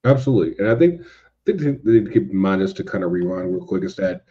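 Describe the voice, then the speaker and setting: A man speaking as a podcast guest in casual discussion, halting and circling toward his point with repeated starts. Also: low voice, animated, mellow. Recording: dull, lo-fi microphone sound.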